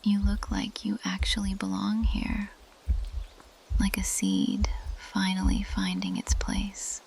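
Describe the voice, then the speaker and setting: A woman playing an ancient, possessive plant monster girl in an intimate ASMR roleplay, speaking in a breathy, lingering whisper. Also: medium pitch, sing-song, takes it slowly, darkish tone, heavily acted. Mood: teasing, predatory.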